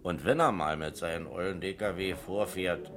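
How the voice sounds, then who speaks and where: An actor playing a down-to-earth, long-serving pub landlord in a German radio crime drama, colloquial and emphatic, with a Berlin accent. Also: male voice, low voice, normal speed, a little hiss or hum, lightly acted.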